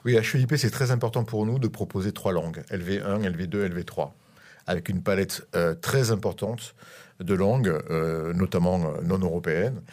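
Interview guest: middle-aged man from France, very deep voice, halting speech full of fillers. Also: a little mellow. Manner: hesitant, many fillers and restarts. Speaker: guest, school director. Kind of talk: interview on radio.

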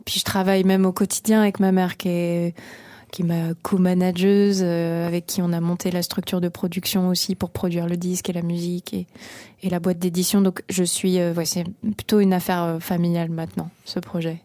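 A woman's voice: on the low side, flat delivery, brisk, dark tone, dry recording. A young woman from the Paris region, a singer-songwriter answering interview questions, talking hesitantly with many fillers and restarts. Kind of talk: radio interview.

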